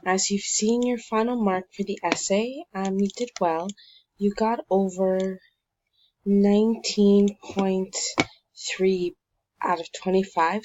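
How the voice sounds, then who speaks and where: Woman, teacher giving essay feedback; calm, reassuring, mid-pitched, slightly dull recording. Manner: calm, encouraging but critical, with frequent fillers.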